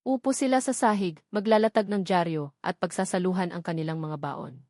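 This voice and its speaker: A woman's voice: lowish voice, fairly quick, a little mellow, no room sound. Woman narrating a love story, dry close sound.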